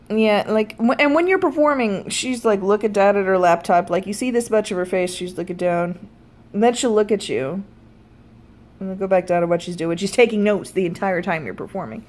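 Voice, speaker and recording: A woman of thirty-two telling stories casually to viewers, animated and wry, with self-deprecating asides and free swearing. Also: mid-range voice. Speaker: vlogger. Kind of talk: casual storytelling chat to viewers.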